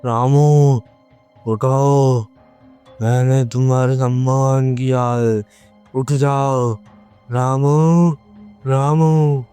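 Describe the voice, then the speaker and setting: A man voicing a menacing blood-drinking demon in an animated horror story, giving repeated, taunting commands. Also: medium pitch, drawn-out words, pauses often, clear, resonant tone, soft background music. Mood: hypnotic command. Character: menacing male blood-drinking demon who hypnotises and threatens.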